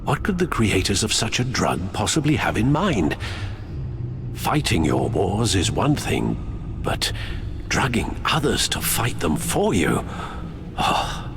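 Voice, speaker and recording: A man playing a thoughtful, indignant confidant in a video game, speaking in a measured, wry way. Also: on the low side, wildly swooping pitch, background noise, exaggerated voice. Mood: concerned. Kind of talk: dramatic video game acting.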